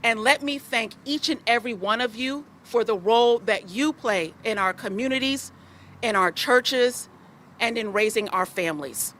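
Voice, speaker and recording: A woman's voice: on the high side, moderate pace, slight background noise. A woman giving a rallying ceremonial speech to a sisterhood gathering. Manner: warm-rallying, then joking and loose. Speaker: speaker at civic ceremony.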